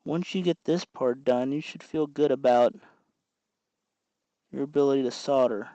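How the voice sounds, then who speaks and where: A man's voice: mid-range voice, normal speed, mellow, dry recording, highs cut off. Man giving a dry-sounding hands-on tutorial. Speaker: host of a DIY electronics tutorial. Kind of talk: hands-on tutorial, talking while working.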